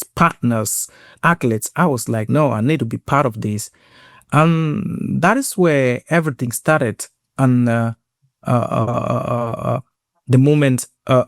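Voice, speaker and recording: A man with a Cameroonian accent speaks in a mid-pitched voice, recorded close and dry. He sounds earnest as he tells a personal story, often halting and repeating words as he goes. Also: dry recording. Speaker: guest, sports inclusion program lead.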